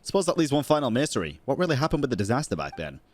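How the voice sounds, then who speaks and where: A man's voice: mid-range voice, big pitch swings, very fast. Casual, profane male game streamer. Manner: slangy, profane, bro-talk. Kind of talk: casual live gaming reaction commentary. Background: game music.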